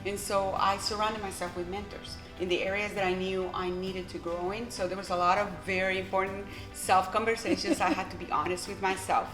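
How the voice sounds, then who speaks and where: A woman's voice: medium pitch, flat delivery, fairly quick, hardly any pauses, roomy sound, music in the background, low-quality recording. A middle-aged woman pastor from Guatemala, a native Spanish speaker with a Guatemalan Spanish accent, giving heartfelt, encouraging advice point by point in an interview. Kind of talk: earnest interview answers.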